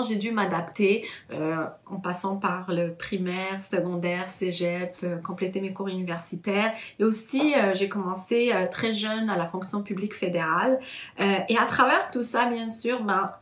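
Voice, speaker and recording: A woman's voice: mid-range voice, steady tone, moderate pace, a bit bright, reverberant. A woman in her thirties, originally from Morocco, giving a personal testimony in an earnest way with many hesitations and fillers. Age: 30s. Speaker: interviewee.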